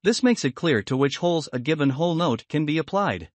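A man giving scripted, instructional software tutorial narration in a measured, explanatory manner. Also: a little high, animated, no echo.

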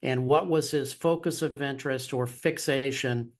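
An older man, a retired counterterrorism agent, speaking deliberately and analytically from a home office over a video call. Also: mid-range voice, steady tone. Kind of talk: expert commentary. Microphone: video call with headset.

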